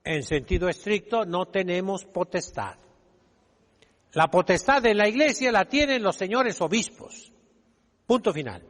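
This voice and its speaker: High-voiced man preaching, long hall reverb. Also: expressive, unhurried, fairly bright tone. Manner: emphatic, didactic, rhetorical questions with calls to repeat. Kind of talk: sermon.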